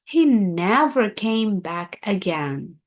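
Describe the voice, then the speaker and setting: A woman reading a children's story aloud in a deliberate, expressive storytelling manner. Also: medium pitch.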